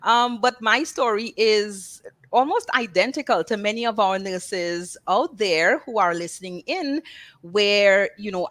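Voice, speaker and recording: A woman's voice: mid-range voice, a bit bright. A middle-aged woman from Trinidad and Tobago with a Trinidadian accent, speaking conversationally on a nursing panel. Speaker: nursing services director. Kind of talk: panel discussion.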